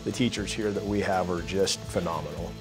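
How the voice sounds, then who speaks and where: A middle-aged man, a school administrator, speaking in a measured, promotional way in a documentary interview. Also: sits low, fairly even intonation, over music. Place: indoor office.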